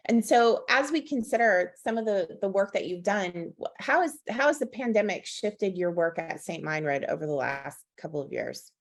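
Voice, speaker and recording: A woman's voice: mid-range voice, lively intonation, moderate pace, hardly any pauses. A woman hosting a conversational interview, speaking as the one who asks the questions. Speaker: interview host.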